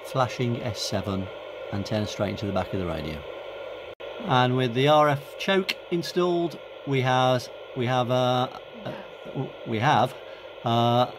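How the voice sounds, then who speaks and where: A middle-aged man thinking aloud in an informal, hesitant way as he gives a casual hands-on demonstration. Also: mid-range voice. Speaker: hobbyist radio video host. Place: indoors at home workbench and radio desk. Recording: clear background hiss and room noise. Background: radio static, ambient noise.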